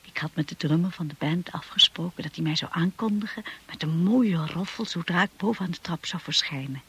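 An actress playing a timid, earnest young wife who tells her own story in a radio drama, with a husky, breathy voice. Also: on the low side, brisk, a bit bright, exaggerated voice. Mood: wistful narration. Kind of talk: radio drama acting and first-person narration.

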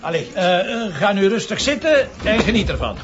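Lively, evasive Flemish policeman. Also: high-pitched, moderate pace, noisy background. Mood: jovial, coaxing. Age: middle-aged. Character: evasive, jovial ageing policeman, husband and father. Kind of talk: radio drama acting. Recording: dull, muffled lo-fi radio drama.

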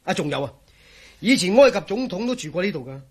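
A man's voice: high voice, big pitch swings, quick pace, husky, full character voice. An actor with a Hong Kong Cantonese accent playing an eager, chatty, jokey male friend in a radio drama.

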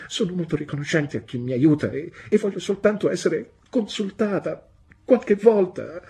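A man voicing a timid young wife who pleads through tears, trembling and high. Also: creaky, dark tone, breathy edge, full character voice.

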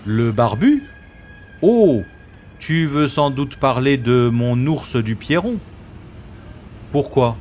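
A sardonic male special agent played by an actor in a science-fiction radio play. He speaks slowly and deliberately, with theatrical mockery, over clearly audible vehicle ambience. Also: mid-range voice, big pitch swings, darkish tone, a little hiss or hum. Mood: mocking, commanding. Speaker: lead actor and narrator of audio drama. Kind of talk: science-fiction audio drama acting with inner-monologue narration.

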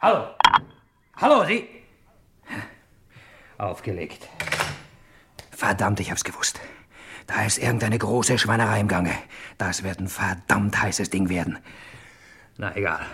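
An actor playing a gruff, clipped, grumbling detective who swears a lot in an old German radio crime drama. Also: male voice, lowish voice, expressive, a little slow, long pauses, a bit bright, heavily acted. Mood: grimly alarmed. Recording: noisy old radio play recording.

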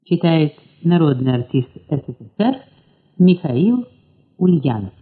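A woman announcer reading a scripted introduction to an audio play in a measured, formal, declamatory way. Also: low voice, very animated. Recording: dull narrow-band old recording.

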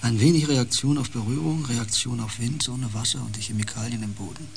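A man playing a haunted, intense empath and space explorer in a radio drama, brooding and halting in fragmented phrases. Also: medium pitch, gravelly, a little hiss or hum, natural voice. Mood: haunted, hushed.

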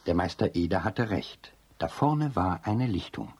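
A man's voice: low voice, expressive, unhurried, a little mellow, husky, no room sound, exaggerated voice. A man narrating a children's radio play as a storyteller. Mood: storytelling.

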